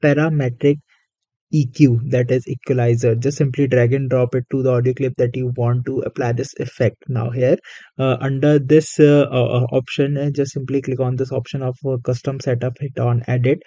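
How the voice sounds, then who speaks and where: A man narrating a casual software tutorial as its host, speaking haltingly with frequent hesitations. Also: mid-range voice, little pitch movement, no room sound, lo-fi sound.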